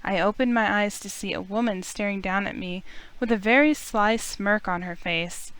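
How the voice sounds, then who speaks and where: A woman's voice: mid-range voice, normal speed, a bit bright, clean tone, no echo, lightly acted, low-quality recording. A woman playing an indignant young human heroine who narrates a visual novel in the first person and voices her own defiant lines. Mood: indignant narrating. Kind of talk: first-person story narration with acted dialogue.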